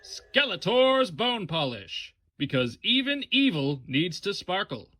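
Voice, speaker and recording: A high-pitched, wildly swooping skeletal villain sells wares in a parody radio ad. He is theatrical, boastful and gleefully menacing, with a sharp, forceful edge. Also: big pitch swings, slow, a little hiss or hum, exaggerated voice. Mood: gloating menace.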